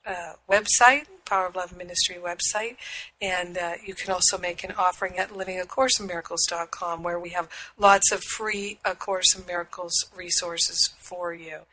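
A middle-aged woman with a husky voice, hosting a spiritual radio show, speaking slowly and soothingly while recovering from a cold. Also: on the low side, fairly even intonation, bright, forceful tone, low-quality recording. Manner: slow, soothing and affirming. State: recovering from illness. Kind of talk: prayer and spiritual teaching talk.

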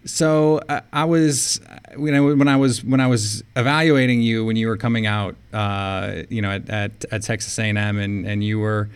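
A man's voice: fairly low pitch, fairly even intonation, normal speed, clean tone. An American man interviewing a guest casually, chatty and given to long, meandering questions. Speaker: interviewer, sports show host.

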